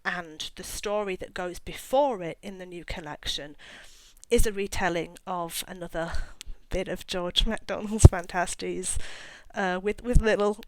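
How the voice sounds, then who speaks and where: A woman's voice: on the low side, a little slow, mellow, a little hiss or hum, low-quality recording. A middle-aged woman talking casually as an author about her own work, in a chatty, rambling way with self-mocking asides. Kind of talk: casual talk to camera.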